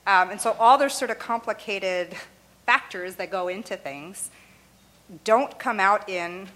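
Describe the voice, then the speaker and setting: A woman's voice: mid-range voice, a little slow, a bit bright. A woman whose first language is Arabic, explaining her views in a loose, conversational way with frequent "you know" and "right?" and light laughs. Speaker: interviewee.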